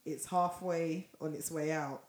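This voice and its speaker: A woman co-hosting a casual podcast, her voice hoarse and froggy as she loses it, laughing often. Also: low voice, fairly even intonation, a little slow, a little mellow, echoey room. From Nigeria. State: losing her voice. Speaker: podcast co-host. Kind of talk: casual chat.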